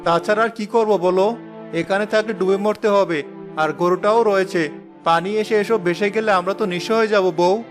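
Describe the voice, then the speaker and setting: A man's voice: high-pitched, fairly even intonation, a little fast, faint music behind, natural voice. A man voicing an earnest, worried poor villager and family man in a cartoon moral tale, speaking with urgency. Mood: urgent, worried. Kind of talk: animated moral story voice acting.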